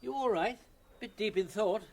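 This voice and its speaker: An actor playing a mild, deferential, bumbling older English prisoner in a radio comedy, polite and apologetic. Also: male voice, high voice, moderate pace, creaky, background noise. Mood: concerned. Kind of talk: British radio comedy drama acting.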